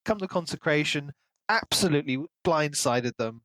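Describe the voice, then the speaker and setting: A man's voice: a little high, normal speed, no echo. Chatty man co-hosting a podcast, dry sound. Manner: animated storytelling, acts out imagined dialogue. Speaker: podcast co-host. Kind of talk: casual book discussion.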